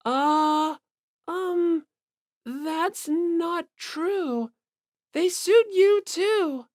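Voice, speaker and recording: A timid, gentle teenage girl voiced by a man in an audiobook. The delivery is very high and slow, meek and full of hesitation. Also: no echo, clean studio sound, heavily acted. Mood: frightened.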